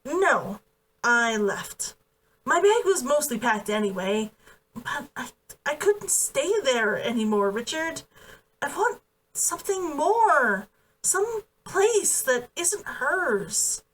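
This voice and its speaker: A woman's voice: high-pitched, big pitch swings, slow pace, fairly bright tone, voice pushed up, heavily acted. A woman reading the part of a proud, defiant and playful young woman in a novel, in an emphatic, teasing manner. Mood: flustered, earnest. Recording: distant from the mic.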